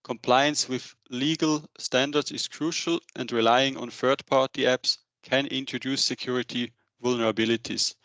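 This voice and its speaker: A man's voice: medium pitch, fairly even intonation, normal speed, hardly any pauses, a bit bright, no echo. Man presenting a webinar, dry close sound. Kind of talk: slide presentation, semi-scripted business webinar. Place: indoor room, on a video call. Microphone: headset.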